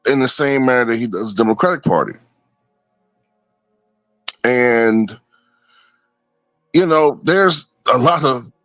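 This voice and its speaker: A man's voice: mid-range voice, a bit bright, no room sound. A man giving casual, opinionated commentary off the cuff, often checking in with the listener. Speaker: online political commentator.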